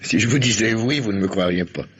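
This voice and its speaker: An actor playing a guarded, courteous café waiter in his thirties, husky and dryly ironic, in an old French radio drama. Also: lowish voice, brisk, fairly bright tone. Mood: guarded, casual. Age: 30s. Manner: laconic, polite, dryly ironic. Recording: muffled old radio recording.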